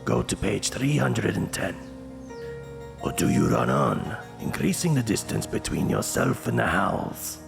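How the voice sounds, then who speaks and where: A very gravelly, deep-voiced narrator of a dark fantasy gamebook, played by a man. He speaks with theatrical menace and deliberate weight, over clear background music. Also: huge pitch swings, heavily acted. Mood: foreboding. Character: ominous gamebook narrator addressing the reader as adventurer.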